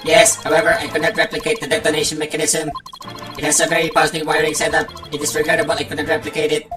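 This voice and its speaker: Woman voicing a low, echoey, robotic male technician. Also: little pitch movement, fast talker, natural voice. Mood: precise, flat. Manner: clipped, technical, formal, literal-minded. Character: robotic, precise male bomb technician and shifty witness. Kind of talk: fan-dub game character acting. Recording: echoey, distant mic, music underneath. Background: music.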